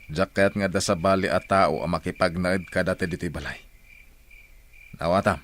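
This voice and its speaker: A man's voice: sits low, fairly even intonation, normal speed, fairly bright tone, a little hiss or hum. A man playing a stern, stubborn husband in a radio drama, firm and curt. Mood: stern refusal.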